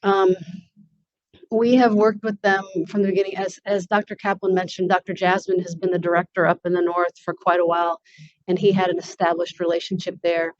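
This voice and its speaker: A woman's voice: lowish voice, little pitch movement, a little fast. A woman hosting at a symposium, responding to participants in an informal, unscripted way. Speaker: symposium speaker, network meeting host. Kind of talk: informal panel discussion and answers. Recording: dull lo-fi audio.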